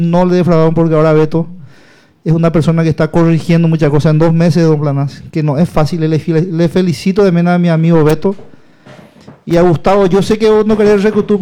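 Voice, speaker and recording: A man who talks on and on in a rambling way, leaning hard on his points. His voice sits high, with a dark, mellow tone. He sounds like a local politician in casual campaign talk. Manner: rambling, emphatic, repeats himself.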